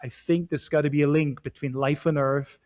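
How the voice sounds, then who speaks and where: A man in his forties from Switzerland, talking as a guest in a relaxed chat. His voice sits a little high for a man. He speaks haltingly, with lots of fillers, repeated words and restarts. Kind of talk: casual chat.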